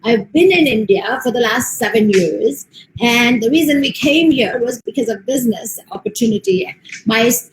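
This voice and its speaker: A woman's voice: fairly high pitch, echoey room, a little hiss or hum, rough recording. An American woman, a motivational speaker, giving an earnest online presentation with frequent ums. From United States. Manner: steady, earnest delivery with frequent um fillers.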